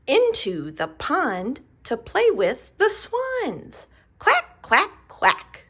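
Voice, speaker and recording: A woman voicing a cheerful little duckling from a picture book, reading slowly in a sing-song way and squealing high on the quacks. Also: wildly swooping pitch, a bit bright, full character voice. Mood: playful.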